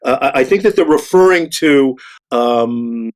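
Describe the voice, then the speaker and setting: A man on a discussion panel, making his argument haltingly, repeating words and stressing the key ones. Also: medium pitch, expressive. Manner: halting and repetitive, yet emphatic on key words.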